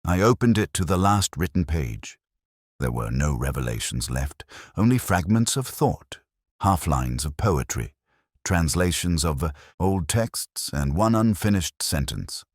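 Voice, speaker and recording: A man reading a scripted first-person detective story in a measured, brooding, reflective way. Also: low-pitched, animated, no room sound, clean studio sound. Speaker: narrator.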